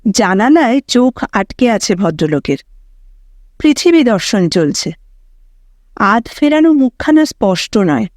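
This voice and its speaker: Expressive high-voiced woman storyteller. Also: no room sound. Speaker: audio story narrator. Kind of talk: expressive literary story narration.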